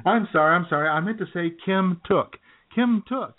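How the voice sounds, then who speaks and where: A man co-hosting a comedy podcast in dry, sarcastic casual chat, heard through a tinny, phone-like recording. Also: on the high side. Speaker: podcast co-host.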